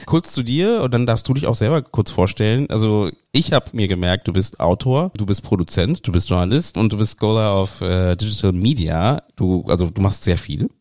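A man's voice: lowish voice, expressive, normal speed, few pauses, a little mellow, no room sound, studio quality. A man hosting a podcast interview in a casual, chatty way, thinking aloud as he phrases his questions.

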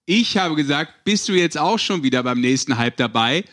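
A man's voice: a little high, expressive, normal speed, fairly bright tone. A man co-hosting a sports podcast, bantering casually in a teasing, ironic way. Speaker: podcast host.

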